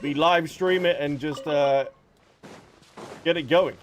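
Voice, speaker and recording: Higher-pitched man chatting on a game livestream. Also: fairly even intonation, slow, slight background noise. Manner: chatty, addresses viewers by name. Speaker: live streamer. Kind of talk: casual game livestream chat. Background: game music.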